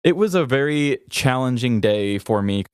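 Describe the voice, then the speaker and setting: A man's voice: mid-range voice, expressive, moderate pace, clear, resonant tone, no echo. A man hosting a podcast, telling candid, self-mocking stories in a casual comedic way on a close microphone. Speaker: podcast host.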